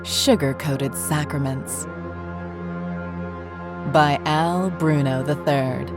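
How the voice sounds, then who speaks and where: A woman narrating a scripted horror story in a measured, deliberate way. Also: sits low, fairly even intonation, over music.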